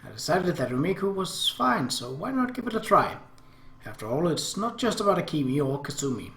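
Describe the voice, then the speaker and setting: A man with a slightly high voice reads story narration from a visual novel as he plays. The recording is roomy, with a clear echo around his words. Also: sing-song, fairly quick, fairly bright tone. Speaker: let's play host reading a game aloud. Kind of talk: reading visual novel narration and dialogue aloud.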